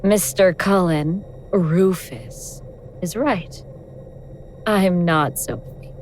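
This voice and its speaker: A woman playing a calm, menacing female elder god in a horror audio drama, composed, commanding and coolly mocking. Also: lowish voice, very slow, slight background noise. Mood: civil, mocking.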